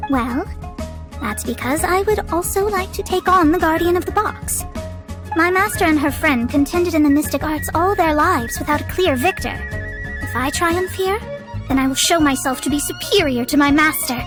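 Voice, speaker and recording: A woman's voice: high voice, moderate pace, lightly acted. A woman voicing a proud young female astrologist in a video game, speaking in a formal, theatrical, haughty way. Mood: confident, persuasive. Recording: music behind the voice.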